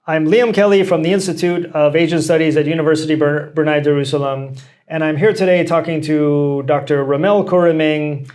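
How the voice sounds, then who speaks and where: A man hosting an interview, introducing his guest and asking questions in a friendly, slightly halting way. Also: a little high, a little mellow.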